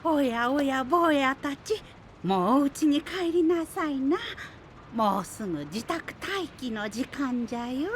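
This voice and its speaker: A woman voicing a kindly old village woman in an anime voice drama, talking in a slow, grandmotherly, gently chiding way. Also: high-pitched, lively intonation, a little hiss or hum. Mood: worried. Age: older.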